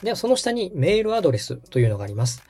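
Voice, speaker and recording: A man's voice: sits high, sing-song, racing, a little mellow, slightly rough, faint music behind. A man hosting a podcast, politely and methodically walking someone through a setup step by step. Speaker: podcast host and radio director giving step-by-step guidance. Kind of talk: instructional walkthrough in dialogue.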